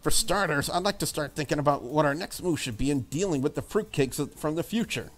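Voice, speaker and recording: A man's voice: a little high, animated, brisk. A man voicing a confident, bossy young woman in a visual novel, talking in a blunt and breezy way. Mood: annoyed, defiant. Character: brash, confident young woman, breakfast cook.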